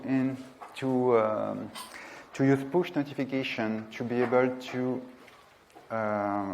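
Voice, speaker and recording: A man's voice: mid-range voice, darkish tone, a little hiss or hum, rough recording. A man in his thirties giving a technical presentation in a lecture room, speaking hesitantly and searching for words. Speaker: conference presenter.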